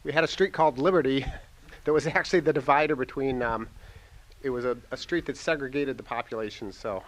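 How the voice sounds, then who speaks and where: A man of middle pitch tells an unhurried, reflective story from the audience at a church talk. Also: rough recording. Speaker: audience member. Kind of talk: telling a personal anecdote.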